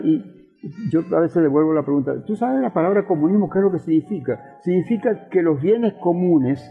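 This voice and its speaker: An older man with a Venezuelan accent, explaining and reminiscing in a conversational interview, emphatic and given to repeating himself. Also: sits high. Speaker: interview guest, professor and politician. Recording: roomy reverb, thin phone-like sound, faint music.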